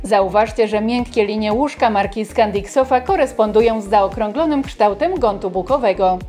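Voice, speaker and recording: A woman's voice: mid-range voice, normal speed, darkish tone, soft background music. A woman hosting a home interiors show, giving a polished scripted voice-over and asking a guest questions.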